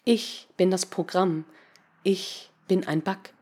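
A woman narrator voicing a naive, emotionless artificial intelligence in an audiobook, with a measured, clipped, stilted delivery. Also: fairly low pitch, steady tone, a little mellow, husky. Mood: puzzled, measured.

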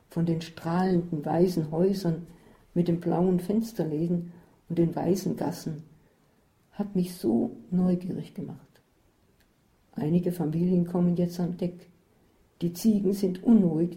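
A woman reading a first-person story aloud in a measured, descriptive way. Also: on the low side, mellow. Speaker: narrator.

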